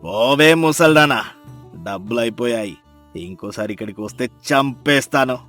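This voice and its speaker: Man acting greedy gambler son, low menacing voice, cruel, storybook villain, music behind. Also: expressive, moderate pace, fairly bright tone, natural voice. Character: cruel, greedy gambler son threatening his old mother.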